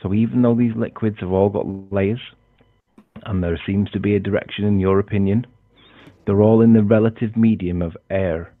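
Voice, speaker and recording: A man's voice: on the low side, steady tone, normal speed, no echo. A man lecturing insistently in a monologue, heard from a played clip. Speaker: video maker being critiqued. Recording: tinny phone-like played clip.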